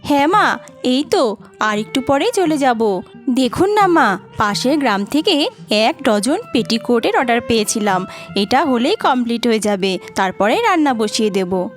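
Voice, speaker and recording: A woman's voice: a little high, animated, moderate pace, faint music behind, natural voice. A woman voicing a patient young village daughter-in-law and seamstress in a cartoon, polite and earnest. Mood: reassuring, dutiful. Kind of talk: cartoon voice acting.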